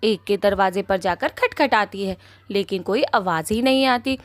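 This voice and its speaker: A woman's voice: medium pitch, moderate pace, no room sound. Female storyteller for scripted cartoon narration, mid-range voice, dry and without room sound.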